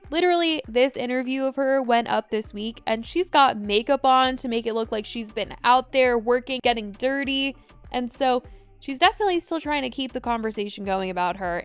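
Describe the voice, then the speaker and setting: Smooth-voiced young woman gossip host. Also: a little high. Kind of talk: scripted celebrity gossip recap.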